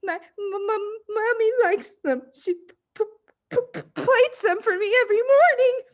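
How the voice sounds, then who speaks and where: A woman's voice: extremely high pitch, pitched above the performer's own voice. A woman voicing a terrified, timid ten-year-old girl in a children's storybook, stuttering and pleading tearfully. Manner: halting, frightened.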